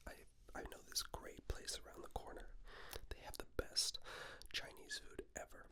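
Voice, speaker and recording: A man playing an affectionate, playfully cocky boyfriend in a breathy, intimate whispered ASMR roleplay. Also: sharp tone. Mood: soothing, teasing. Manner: intimate, teasing, self-satisfied, slow.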